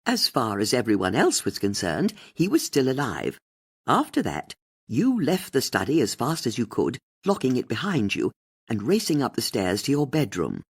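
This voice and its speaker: Expressive husky female audiobook narrator. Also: extremely low pitch, normal speed. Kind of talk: scripted mystery fiction narration.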